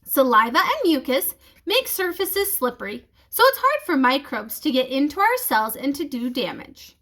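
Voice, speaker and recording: Studious high-voiced schoolgirl read by a woman. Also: big pitch swings, normal speed, fairly bright tone. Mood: informative. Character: studious schoolgirl reciting facts from her books. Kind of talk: children's storybook reading.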